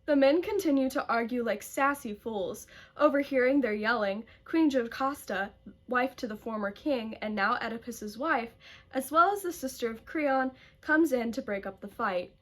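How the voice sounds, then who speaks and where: A woman's voice: a little high, normal speed. A woman giving scripted storytelling narration for an amateur puppet show.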